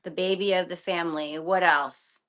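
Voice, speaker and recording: A woman hosts a casual interview, her New plain and her talk candid and full of slang. Her voice sits on the low side for a woman. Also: steady tone, moderate pace, fairly bright tone. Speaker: podcast host.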